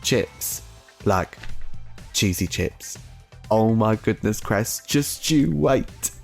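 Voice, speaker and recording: A reader voicing a fiery, excitable and protective young companion in an audiobook. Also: medium pitch, extremely slow, creaky, soft background music, full character voice. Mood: delighted. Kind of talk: dramatic audiobook character voice.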